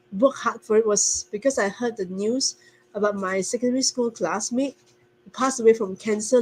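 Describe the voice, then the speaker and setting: A woman's voice: fairly high pitch, dark tone, breathy edge, poor audio. A woman from Singapore, a stay-at-home mother, earnestly telling her story on a video call, often restarting and correcting herself. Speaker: guest speaker. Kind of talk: casual testimonial on a video call.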